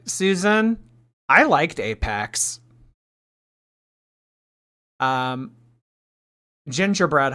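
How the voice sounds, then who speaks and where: A chatty woman in her late twenties hosts a livestream reaction, bright and forward in tone. She teases her viewers and drifts between loud bursts and mumbled asides. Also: very deep voice. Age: late 20s. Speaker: streamer.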